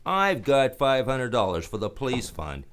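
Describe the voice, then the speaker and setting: An actor playing a wry, weary hardboiled detective in a radio drama, talking conversationally with some hesitation. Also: male voice, mid-range voice, sing-song, normal speed, fairly bright tone, clear, resonant tone. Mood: casual, persuasive. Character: wry, weary male private detective narrating his case.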